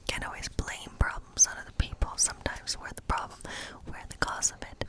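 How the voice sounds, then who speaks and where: A friendly woodland witch, played by a woman, whispers her way through an ASMR tarot role play. Her voice is all soft breath with no tone behind it. She rambles gently and lingers over phrases as she goes. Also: fairly quick, natural voice. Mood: musing, playful.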